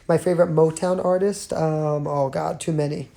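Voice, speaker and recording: Singer on a casual fan stream: raspy, gravelly and tired, warm low tone, filler-heavy chat. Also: female voice. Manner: chatty, many fillers and laughs. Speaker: singer live-streaming to fans. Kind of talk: casual live-stream chat answering viewer questions. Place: bedroom.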